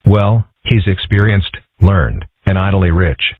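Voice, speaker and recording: Guy doing calm philosophical fox kid, cartoon dub, really low husky voice, phone-like sound. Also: slow, no echo. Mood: deadpan. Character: serene, wry young boy fox, philosophical schoolkid. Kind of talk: comic strip dub acting. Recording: tinny phone-like band-limited audio.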